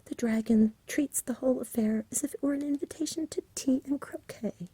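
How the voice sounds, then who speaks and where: A woman's voice: high-pitched, a little fast, warm tone, slightly rough, dry recording, pitched above the performer's own voice. A woman voicing an eager, cheeky, pleading village boy in a whispered ASMR storybook reading. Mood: exasperated. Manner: urgent, cheeky, imploring.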